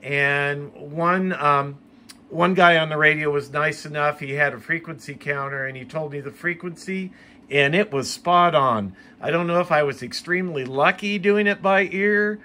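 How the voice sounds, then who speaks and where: A man with an American accent narrates a casual hobby how-to at home. His voice sits a little high and has a bright, forward edge. He talks plainly, as the host of a homemade video. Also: normal speed. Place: room at home.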